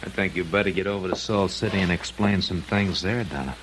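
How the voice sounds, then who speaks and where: An American actor playing a smug, menacing gangster boss in a 1950s radio crime drama, casually taunting in slang. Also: male voice, sits low, fairly quick, natural voice. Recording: noisy vintage radio recording.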